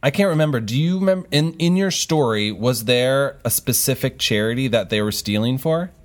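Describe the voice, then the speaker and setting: A man from Houston, Texas, interviewing a child in a friendly, casual way with chatty, animated interest. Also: medium pitch, fairly quick. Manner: chatty, slangy, reacts with exaggerated interest. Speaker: interviewer, show host.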